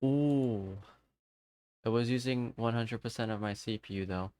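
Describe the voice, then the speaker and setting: A man chats casually through a live game stream, talking to himself and his viewers as he plays. His voice is smooth and resonant. It is recorded close and dry on a desk microphone. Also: medium pitch, measured pace, warm tone, no room sound. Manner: chatty, talks to himself and to chat viewers. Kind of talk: casual live-stream chat while gaming.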